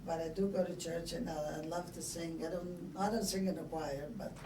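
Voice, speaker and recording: Older woman, Italian accent, interviewee, casual halting storytelling, far from the mic, some background noise. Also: on the low side, fairly even intonation. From Italy. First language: Italian dialect. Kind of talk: casual oral-history reminiscing. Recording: echoey room, distant mic, background noise.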